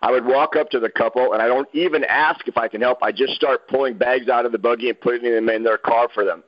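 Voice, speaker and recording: A man's voice: mid-range voice, steady tone, fast talker, dull audio. A man on a group call, eagerly jumping in first to answer. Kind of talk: casual chat.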